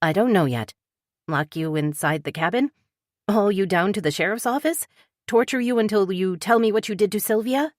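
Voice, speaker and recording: A woman's voice: mid-range voice, moderate pace, dry recording, studio quality, pitched above the performer's own voice, close to an everyday voice. A woman voicing a blunt, suspicious woman writer who questions sharply and demands answers. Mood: demanding, tense.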